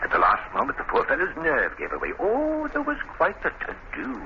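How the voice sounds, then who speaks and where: An actor playing an obsessed, vengeful would-be executioner in old radio drama style, halting and melodramatic on a muffled recording. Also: male voice, a little high, wildly swooping pitch, slight background noise. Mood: eerily polite pride. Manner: melodramatic, halting, drawn-out emphasis. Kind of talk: 1940s radio drama acting.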